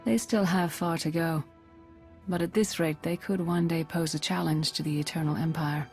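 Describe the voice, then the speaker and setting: Composed advisor for game drama: slightly low, measured, faint score beneath. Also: female voice, fairly even intonation, darkish tone, soft background music. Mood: measured, thoughtful. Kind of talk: dramatic video game acting.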